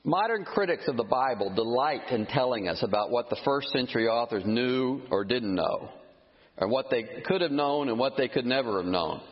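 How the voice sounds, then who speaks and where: A man preaching a sermon, emphatic and animated, scornful of his critics, with frequent restarts. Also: mid-range voice, normal speed. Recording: muffled sound with long hall reverb.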